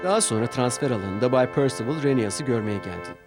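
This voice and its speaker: A man narrating a scripted children's story in an expressive storytelling style. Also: medium pitch, quick pace. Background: orchestral music with brass.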